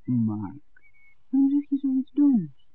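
An actress playing a lively, romantic young village barmaid in a 1960s Dutch radio drama. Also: female voice, sits high, takes it slowly, slightly rough, narrow, tinny audio, exaggerated voice. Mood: tender, earnest. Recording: thin vintage 1969 radio recording.

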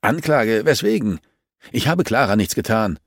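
A man's voice: lowish voice, very animated, moderate pace, creaky, no echo, studio quality, voice pushed up, full character voice. A man voicing an arrogant, cornered male murder suspect in a crime audiobook, snapping with defiant indignation. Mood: indignant.

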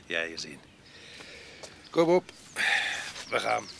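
An actor playing a rough, wild man with a past in a radio drama, mocking and blustering in a coarse way. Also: lowish voice, sing-song, extremely slow, heavily acted. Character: rough, wild, taunting man with a past, vagabond grape picker. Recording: noisy 1980s radio drama with outdoor ambience.

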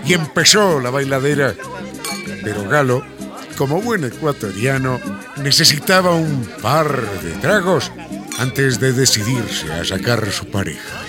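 An actor playing the narrator of a radio drama, telling the scripted story in an expressive, wry way. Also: male voice, mid-range voice, a little slow. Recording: music behind the voice.

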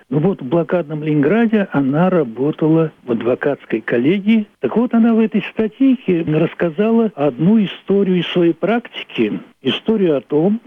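Older male playwright, unscripted recollection, slightly raised pitch, husky edge, dry phone-quality audio. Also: dry recording. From Leningrad, Russia. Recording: muffled, phone-like narrowband audio.

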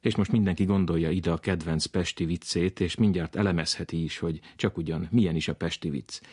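Man, deep voice, husky, dry sound, scripted radio narration. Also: steady tone, darkish tone, dry recording. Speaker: radio programme presenter.

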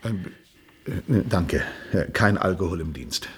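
A man's voice: deep voice, lively intonation, a little slow, slight background noise. An actor in a comic radio play playing a flustered, stammering astronaut, a fussy civil servant nearing his pension. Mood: puzzled, fussy. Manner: stammering, hesitant, fussily exasperated.

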